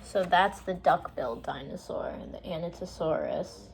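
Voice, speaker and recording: A woman reading a children's story aloud, with expressive, deliberate and dramatic narration. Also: lowish voice, creaky.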